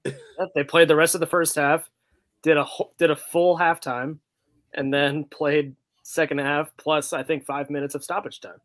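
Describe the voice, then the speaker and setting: A man's voice: a little high, level tone, moderate pace, fairly bright tone, no room sound. A man chatting casually as a soccer fan podcast panelist, talking loosely with many filler words. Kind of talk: casual chat.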